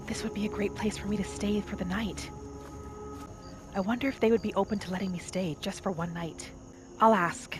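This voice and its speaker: A woman playing a gentle, homesick wood elf wanderer who thinks aloud softly in a first-person video game roleplay. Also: medium pitch, natural voice. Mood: friendly, hopeful. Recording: music and rain behind the voice. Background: game music and rain.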